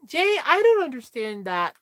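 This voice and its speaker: A woman's voice: sits high, sing-song, moderate pace. A woman in her thirties chatting candidly with viewers on a livestream, telling a personal story in a rambling way full of fillers. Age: 30s. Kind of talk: casual chat with viewers.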